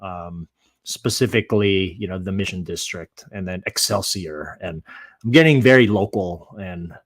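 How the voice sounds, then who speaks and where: A man's voice: sits low, normal speed, dry recording. A middle-aged man with an American accent, an interview guest telling his story in a casual, rambling, wry way. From San Francisco Bay Area, USA.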